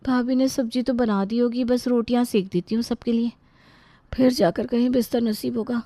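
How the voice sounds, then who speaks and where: A woman's voice: a little high, steady tone, moderate pace, warm tone, no room sound, close to an everyday voice. A woman voicing an overworked, resentful young working daughter-in-law with a complaining, pointed manner. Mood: weary.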